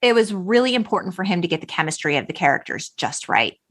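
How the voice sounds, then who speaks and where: A woman's voice: on the low side, expressive, fast talker, fairly bright tone. Middle-aged woman hosting a podcast conversation. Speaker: podcast host. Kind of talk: conversational film discussion.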